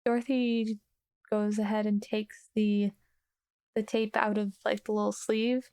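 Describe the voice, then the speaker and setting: Creaky-voiced woman playing a tabletop game. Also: medium pitch, level tone, unhurried, dark tone. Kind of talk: casual improvised narration of her character.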